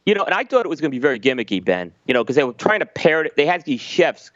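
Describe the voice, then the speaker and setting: A man's voice: on the high side, very animated, racing, fairly bright tone, no room sound. A man co-hosting a cigar podcast, giving detailed, explanatory tasting notes in casual panel chat. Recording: slightly dull lo-fi audio.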